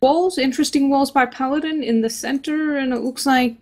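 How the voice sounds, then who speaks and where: Higher-voiced woman casting a game match. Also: steady tone, moderate pace. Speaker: esports match caster. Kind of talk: live game commentary.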